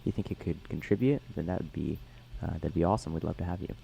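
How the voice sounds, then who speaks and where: A young man co-hosting a casual podcast, talking haltingly with many fillers, his voice tired after a concert. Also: deep voice, creaky, dark tone, a little hiss or hum, poor audio. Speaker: podcast co-host. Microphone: headphones on, remote call.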